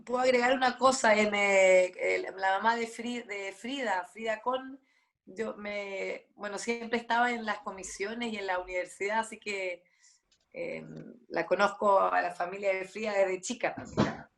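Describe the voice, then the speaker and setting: A woman chatting casually and haltingly as an interview guest. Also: medium pitch, steady tone, vocal fry, fairly bright tone. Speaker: guest musician.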